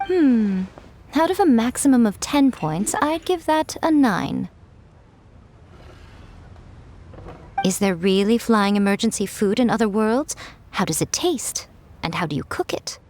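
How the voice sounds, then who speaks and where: A woman's voice: on the high side, expressive, normal speed, darkish tone, slight background noise, lightly acted. A woman voicing a sly, confident kitsune publisher in an anime game, poised, teasing and theatrical. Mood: amused, approving.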